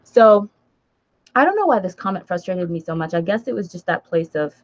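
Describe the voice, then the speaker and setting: A woman's voice: on the low side, expressive, a little fast, lo-fi sound. A woman with an American accent chatting casually on a livestream, speaking emphatically and repeating phrases for stress. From Nigeria until age seven, then raised in the United States. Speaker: book vlogger and writer hosting a livestream. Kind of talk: casual livestream chat, responding to comments.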